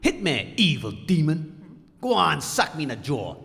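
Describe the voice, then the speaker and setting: A man playing a brash, goading showman, very animated. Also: fairly high pitch, measured pace, gravelly, heavily acted. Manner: mocking, old-fashioned tough-guy slang. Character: brash, sarcastic male ghost-show ventriloquist rival, goading and combative. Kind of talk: comedy audio drama acting. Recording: reverberant hall, faint noise.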